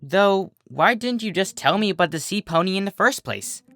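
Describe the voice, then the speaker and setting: A sensible storybook mare with little patience, voiced by a narrator. Her manner is dry and matter-of-fact, in a clear bright tone with a close, dry sound. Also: mid-range voice, animated, moderate pace, no room sound, soft background music, voice pushed up. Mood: curious. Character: dry, easily irritated, sensible female earth pony.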